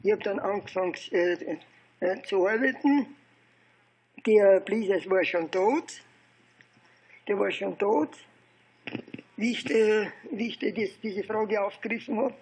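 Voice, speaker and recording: An elderly man with an Austrian accent and a husky voice, telling his recollections informally in a halting, digressive way with repeated phrases. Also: high voice, darkish tone, low-quality recording. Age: 70s or older. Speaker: interviewee recounting political and research past. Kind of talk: informal oral history storytelling.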